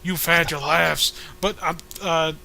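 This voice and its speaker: A man's voice: a little high, fairly even intonation, slow, vocal fry, pushed and bright, full character voice, poor audio. A man voicing a pompous, indignant patriotic comic hero, delivering stumbling, grand oratory.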